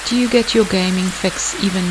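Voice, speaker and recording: A meditation guide delivering scripted hypnotic narration in a measured, deliberate way with pauses. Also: mid-range voice, steady tone. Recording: hissy, clearly noisy background.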